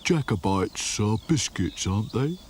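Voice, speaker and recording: A man voicing a glum, slow-witted boy rag doll who talks slowly and mournfully. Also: lowish voice, lively intonation, darkish tone, slight background noise.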